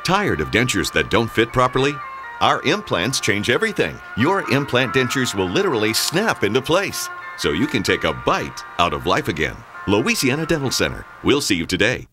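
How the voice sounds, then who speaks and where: A man's voice: mid-range voice, wildly swooping pitch, moderate pace. A man reading a scripted commercial as an announcer. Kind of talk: scripted advertising read. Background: music bed.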